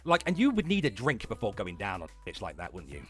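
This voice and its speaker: Expressive, exasperated man ranting over music. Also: lowish voice, fast. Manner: foul-mouthed, exasperated, sarcastic. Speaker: livestream host. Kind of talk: mocking live reaction commentary.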